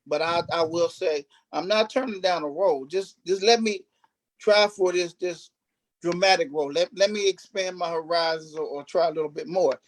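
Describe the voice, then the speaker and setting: A man's voice: on the high side, moderate pace, dry recording, rough recording. Emphatic man, close dry sound. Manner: emphatic, repeats phrases for stress. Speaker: stage play cast member, actor. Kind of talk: informal panel interview.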